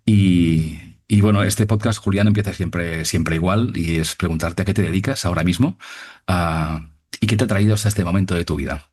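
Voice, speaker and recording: A man's voice: low-pitched, normal speed, a little mellow, husky. A man hosting a podcast and interviewing in a wordy, easygoing way with frequent restarts. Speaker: podcast host. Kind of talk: interviewer's casual chat.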